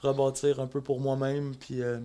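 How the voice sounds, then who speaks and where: A man's voice: medium pitch, completely flat delivery, moderate pace, dark tone, clear, resonant tone. A man hosting a casual interview podcast, asking long rambling questions full of fillers. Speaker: podcast host.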